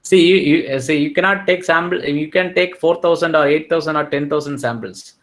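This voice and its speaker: A man's voice: fairly high pitch, brisk, fairly bright tone. A man with an Indian English accent giving a technical webinar over a video call, explaining step by step and often checking in with "right?". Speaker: webinar speaker.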